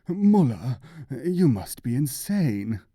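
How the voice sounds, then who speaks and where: A man's voice: medium pitch, very animated, slow, dark tone, no echo, studio quality. A man voicing a grave, querulous sick theologian in a storybook reading. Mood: scandalized.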